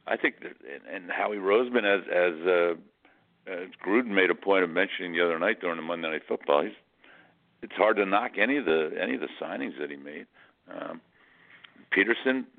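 A man talking sports casually as a radio guest, halting and often repeating words as he restarts. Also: low voice, creaky, narrow, tinny audio.

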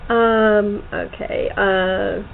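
A woman hosting a casual interview, chatty and halting as she rephrases her questions. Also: medium pitch, fairly even intonation, creaky. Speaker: host, playwright-director interviewing cast. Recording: tinny phone-like internet call, noisy.

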